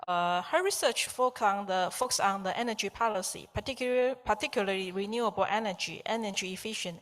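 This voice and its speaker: A woman chairing a conference session, introducing a speaker and moving to questions, in a halting way with repeated words. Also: mid-range voice, fairly bright tone, long reverb tail.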